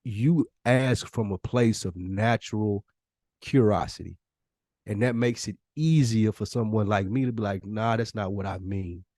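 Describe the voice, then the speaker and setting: A man's voice: lowish voice, measured pace, darkish tone, no echo, clean studio sound. A man co-hosting a podcast in casual, earnest talk, using slang and profanity and often voicing imagined quotes. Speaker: podcast co-host.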